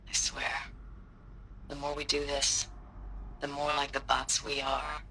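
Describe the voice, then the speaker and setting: An actor voicing a weary, grimly reflective soldier recording battle logs in a video game, somber and matter-of-fact. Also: male voice, medium pitch, monotone, unhurried, sharp tone, slight background noise, poor audio. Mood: weary, disillusioned. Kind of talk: dramatic video game monologue.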